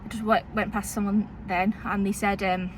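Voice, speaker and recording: A woman with a soft Liverpool accent tinged with Lancashire, vlogging in a chatty, rambling way, full of fillers and little laughs. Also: mid-range voice, flat delivery, normal speed, slight background noise. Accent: soft Liverpool with Lancashire influence. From Liverpool, England. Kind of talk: casual vlog chat. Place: town street, then in a parked car.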